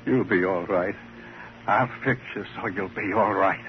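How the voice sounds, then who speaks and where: An actor playing a troubled, tender, earnest ventriloquist in an old-time radio drama. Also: male voice, deep voice, measured pace. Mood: tearful relief. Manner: fragile, intense, earnest. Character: troubled, tender ventriloquist devoted to his dummy. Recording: muffled, hissy old radio recording.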